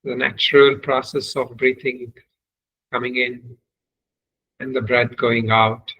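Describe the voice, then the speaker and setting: A man leading a guided meditation as a teacher, giving measured, unhurried instructions with long pauses. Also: medium pitch, level tone, a bit bright, breathy edge, muffled recording.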